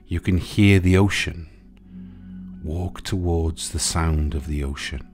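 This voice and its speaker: A man narrating a guided sleep meditation in a soothing, gentle, unhurried way, leaving pauses between short phrases. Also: low voice. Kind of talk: guided sleep meditation, hypnotic relaxation countdown. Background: music.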